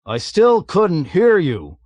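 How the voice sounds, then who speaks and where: A man voicing a calm, oblivious male navigator who speaks in a mild, innocently puzzled way. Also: sits high, slow, no echo, voice pushed up, exaggerated voice.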